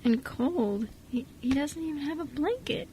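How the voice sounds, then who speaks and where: A woman's voice: high voice, lively intonation, normal speed, mellow, a little hiss or hum, low-quality recording. A woman playing a shy, curious young boy, a tentative and earnest big brother, in an amateur radio drama. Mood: tender.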